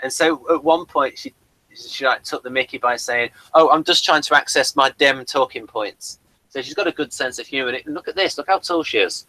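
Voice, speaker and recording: A man's voice: mid-range voice, a little fast, bright, forceful tone, dry recording, rough recording. A man hosting a review show in casual, unscripted chat, rambling and stop-start as he thinks aloud.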